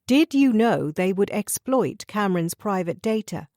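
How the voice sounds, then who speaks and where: Give me a woman doing a sharp young investigator, tart and direct. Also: medium pitch, animated, measured pace, no echo, clean studio sound. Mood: challenging. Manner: pointed, tart questioning.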